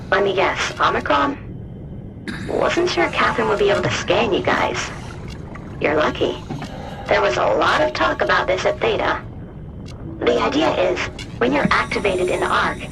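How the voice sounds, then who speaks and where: A woman's voice: medium pitch, animated, normal speed, creaky, pauses often, poor audio. A woman playing an earnest, trusting technician chatting in a sci-fi video game. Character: trusting, earnest female technician, eager and relieved. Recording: music and ambience behind voice.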